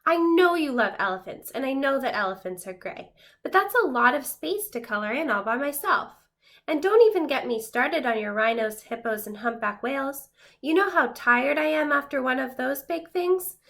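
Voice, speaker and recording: A woman's voice: sits high, animated, moderate pace, gravelly, fairly bright tone, full character voice. A woman voicing an overworked, exasperated gray crayon in a storybook, pleading dramatically.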